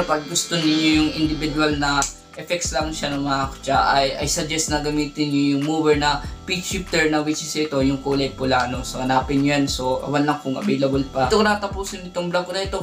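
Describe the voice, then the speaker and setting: A man's voice: a little high, fairly even intonation, fairly quick. A man giving a casual guitar gear demonstration, often tagging his phrases with a short check-in word. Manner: punctuates phrases with a tag word seeking agreement. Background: electric guitar playing through effects.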